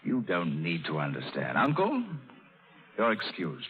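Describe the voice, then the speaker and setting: An actor playing a dashing, teasing young pirate and romantic hero in a 1930s radio drama. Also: male voice, deep voice, wildly swooping pitch, unhurried, loud music behind the voice, full character voice. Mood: tender, amused. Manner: playful, theatrical, teasing. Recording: tinny 1930s radio broadcast.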